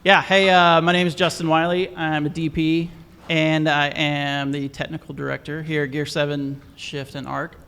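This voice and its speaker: A male host opens a casual interview in a relaxed, rambling way. His voice sits fairly high, and his sentences often trail off softly. Long reverb hangs around him. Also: moderate pace, vocal fry, clean tone.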